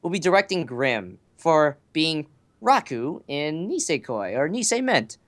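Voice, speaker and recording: A young man chatting casually as a podcast guest, excitable and sweary, poking fun at himself with theatrical bursts. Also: fairly high pitch, measured pace. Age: young adult. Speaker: podcast guest, amateur voice actor and fandubber. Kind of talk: casual chat.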